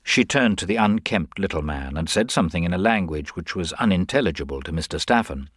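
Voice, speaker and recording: A man giving a dramatic, expressive narration of a crime story. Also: low-pitched, fairly quick, slightly rough, dry recording, clean studio sound.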